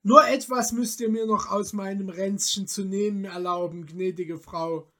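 A woman's voice: mid-range voice, fairly even intonation, a little slow, a bit bright, close to an everyday voice. A woman voicing an earnest, modest young craftsman from a storybook, with polite, formal, old-fashioned diction. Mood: courteous, firm.

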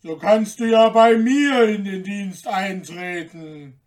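A man voicing a huge, gruff, gullible old giant from a fairy tale, with a booming, grumbling, drawn-out delivery. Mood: loud, domineering. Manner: slow, drawn-out, emphatic delivery.

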